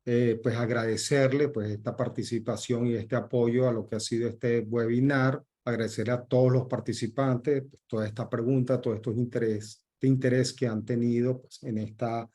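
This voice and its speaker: A man's voice: medium pitch, fairly even intonation, normal speed, hardly any pauses, dark tone. A man moderating a webinar question session, courteous and full of fillers like "pues" and "este".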